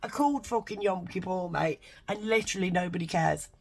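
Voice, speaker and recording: A woman with a congested, bunged-up nose, rambling excitedly and swearing a lot in a casual vlog from home. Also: fairly low pitch, fairly quick, gravelly. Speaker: vlogger.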